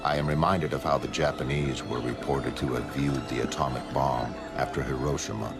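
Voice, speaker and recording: A man performs an aloof, melancholy superhuman male with an exceptionally deep voice. He narrates a motion comic in the first person, solemn, flat and measured, over clearly audible music. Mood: detached, reflective.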